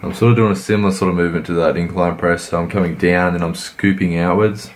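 Very deep croaky-voiced young man. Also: fairly even intonation, fast talker, slight background noise. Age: 20s. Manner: laid-back, rambling, many fillers and restarts. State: croaky from a cold. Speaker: fitness vlogger. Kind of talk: voice-over workout commentary.

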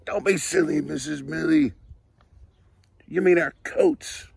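A man voicing a class of young schoolchildren, speaking high and loud as they correct their teacher. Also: takes it slowly, vocal fry, slightly rough, dry recording, exaggerated voice. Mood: exasperated.

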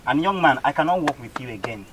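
A man playing a company CEO in a skit, measured at first and then indignant and scolding, recorded with background noise. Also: medium pitch, expressive, natural voice. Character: stern middle-aged male company CEO. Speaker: company boss. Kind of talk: amateur skit acting.

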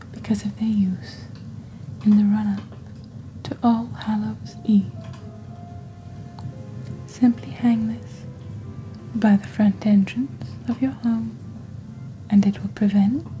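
A woman softly presenting products in a hushed, breathy, gentle and deliberate ASMR style, like a scripted fantasy shopping channel. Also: mid-range voice, low-quality recording. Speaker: host of a witch-themed shopping channel roleplay.

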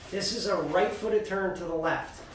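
A middle-aged man coaching skiing, calmly explaining foot movements and exercises while he demonstrates in a cluttered indoor workshop, filmed by a camera across the room. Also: high voice, moderate pace, a little hiss or hum. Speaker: ski coach. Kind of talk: instructional demonstration. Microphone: far camera. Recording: echoey room, mic far off.

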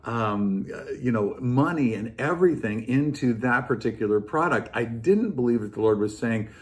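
This is an American man in his sixties with a medium-pitched voice. He speaks like a pastor sharing an informal reflection from home. His manner is earnest and thoughtful, and he repeats key phrases to drive them in. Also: lively intonation, normal speed. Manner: earnest, reflective, emphatic repetition. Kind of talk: informal devotional talk to camera. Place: living room at home, indoors.

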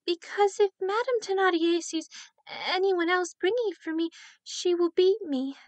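A woman playing a timid eight-year-old servant girl in a radio drama, polite, halting and deferential. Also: extremely high pitch, no room sound. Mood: meek.

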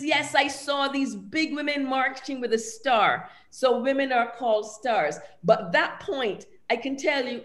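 A woman with a high voice joins a panel over a video call from an echoey room. She is an animated storyteller who drives her points home with emphasis and agrees warmly with the others. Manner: animated storyteller, affirming with frequent yeses. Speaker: panelist, folklore expert.

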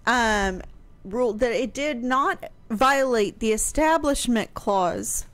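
Higher-voiced American woman chatting on radio. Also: lively intonation, slow pace, fairly bright tone. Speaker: historian and talk show guest expert. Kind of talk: casual radio discussion. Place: home room, indoors. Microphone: close studio mic on boom arm, headphones.

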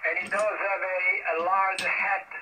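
A man's voice: sits high, little pitch movement, moderate pace, noisy background. A man on a ham radio contact, talking hesitantly with many fillers about his equipment. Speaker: radio amateur on air. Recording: distant muffled shortwave radio.

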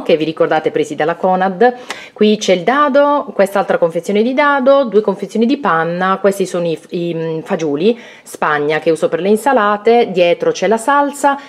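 Chatty woman showing her pantry. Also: lowish voice. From Italy. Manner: chatty, hesitant with fillers. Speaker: vlogger. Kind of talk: casual home tour narration. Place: home kitchen pantry, indoors.

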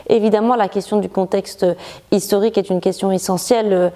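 Thoughtful Moroccan-born woman novelist, mellow voice. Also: mid-range voice, fairly even intonation. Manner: thoughtful, many restarts and filler pauses. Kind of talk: reflective interview monologue. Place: bright living room by a large window.

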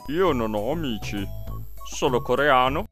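A man voicing a sullen, deadpan panda puppet, a dry and unfriendly co-anchor on a parody news show. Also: medium pitch, unhurried, faint music behind.

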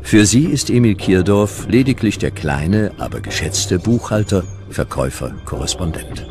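A man with a very low voice narrates a historical documentary from a script. His intonation moves widely, giving the measured delivery a dramatic storytelling feel. Music plays behind him. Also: expressive.

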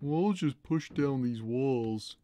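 A man voicing a huge, gentle, simple-minded cat who talks plainly and innocently on a gaming livestream. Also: medium pitch, lively intonation. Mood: content. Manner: plain, slow, naive, reads chat messages aloud. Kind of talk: in-character gaming livestream chat.

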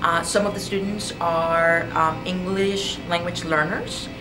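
A woman's voice: lowish voice, fairly even intonation, a little slow, far from the mic, music in the background, rough recording. A woman workshop leader speaking in a school hallway about storytelling classes. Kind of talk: interview.

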